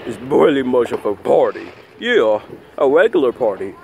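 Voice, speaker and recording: A man improvising a plush toy skit outdoors, talking in a rambling, repetitive, halting way into a handheld phone. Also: mid-range voice, big pitch swings, vocal fry, darkish tone. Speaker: puppeteer of plush toys. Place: outdoors on grass at night. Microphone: handheld phone camera close by. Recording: noisy outdoor phone video recording.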